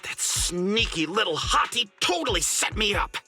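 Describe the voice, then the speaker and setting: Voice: medium pitch, very animated, moderate pace, bright, forceful tone, faint music behind. An actor playing a panicky, melodramatic and aggrieved victim in an anime-style video game. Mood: aggrieved, relieved. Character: panicky, dramatic victim of an ambush.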